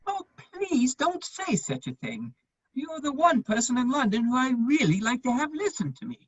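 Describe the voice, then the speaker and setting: Woman doing a charming fop gentleman in love, kinda husky, close dry but muffled audio, pleading n witty, stage play. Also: high-pitched, sing-song, normal speed, no echo, heavily acted. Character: foppish, lovestruck gentleman just over thirty, charming wit. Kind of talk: stage comedy of manners, dramatic reading.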